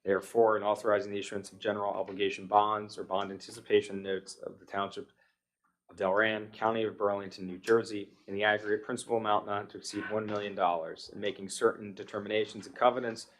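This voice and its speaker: A man's voice: lowish voice, level tone, fast, fairly bright tone, poor audio. An American man chairing a township meeting, formally reading out long ordinances and motions in a procedural way. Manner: procedural, reading long legal text aloud. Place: township public meeting room.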